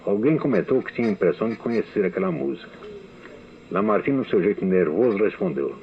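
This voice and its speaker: Low-voiced man recounting memories on old radio. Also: lively intonation, quick pace. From Brazil. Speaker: radio novelist giving a testimony. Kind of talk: archival spoken memoir. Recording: tinny lo-fi archival radio recording.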